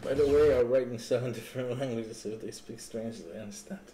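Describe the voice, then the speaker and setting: A man's voice: mid-range voice, quick pace, warm tone. A man hosting a fighting game tournament stream, chatting casually and swearing now and then. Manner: laid-back, swears casually, chats with chat. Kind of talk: casual live commentary. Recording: echoey room with faint game music.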